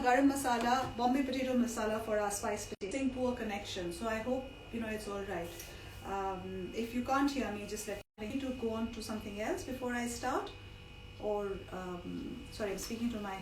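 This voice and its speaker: A woman's voice: mid-range voice, far from the mic. A middle-aged woman from India teaches a cooking class on a live stream, chatting as she explains. Her voice is partly lost. She sounds set back from the mic in a roomy kitchen, with some background noise. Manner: chatty, digressive instruction with asides. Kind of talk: informal live cooking demonstration.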